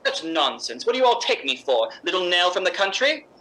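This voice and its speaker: A woman performs a volatile theatre star in a 1950s-style radio play. Her voice is forceful and bright, pitched low for a woman. She is theatrical, proud and bitingly sardonic. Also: very animated, brisk. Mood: furious, scornful. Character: proud, volatile stage actress diva, wounded and sardonic.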